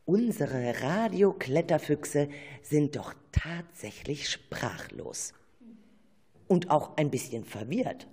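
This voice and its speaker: A narrator reading a children's radio play script in an expressive, suspenseful storytelling manner. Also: medium pitch, slightly rough.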